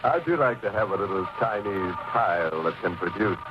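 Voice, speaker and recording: An actor playing a chummy, macabre host of an old-time radio horror show. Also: male voice, sits low, lively intonation, normal speed, noisy background. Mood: ghoulishly playful. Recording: dull vintage radio, loud music behind.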